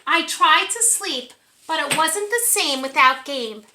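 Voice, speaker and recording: A woman reading a storybook aloud to preschoolers, encouraging and pausing to ask young listeners questions. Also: high voice, animated, background noise. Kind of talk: animated children's storybook reading. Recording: echoey room.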